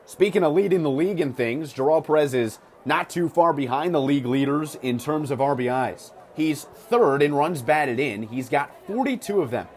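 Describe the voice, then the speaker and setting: A man's voice: fairly high pitch, animated, normal speed, hardly any pauses, darkish tone. An American man calling live baseball on the radio, mixing punchy, clipped calls with stat-filled asides. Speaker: baseball radio play-by-play announcer. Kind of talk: live sports commentary. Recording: clear ballpark noise behind the voice.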